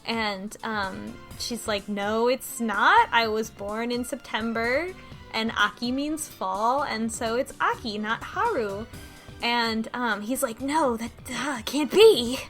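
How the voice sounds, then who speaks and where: A woman co-hosting a casual podcast, recapping a video game in an animated, amused way. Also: a little high, slow pace, faint music behind. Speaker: podcast co-host.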